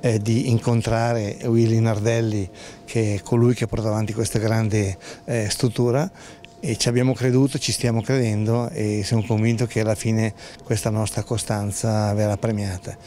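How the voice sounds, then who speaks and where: A man's voice: lowish voice, level tone, normal speed, dark tone. A man in his sixties with a northern Italian accent, giving a spontaneous interview answer. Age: 60s. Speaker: local administrator. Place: indoor office. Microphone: handheld reporter microphone.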